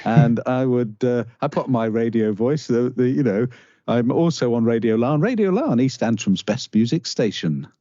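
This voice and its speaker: A middle-aged man with a Northern Irish accent, a guest in a conversational interview, speaking haltingly with many restarts. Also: medium pitch, expressive, mellow, dry recording. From Northern Ireland. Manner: halting, restarts often, earnest. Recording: dull lo-fi dry sound.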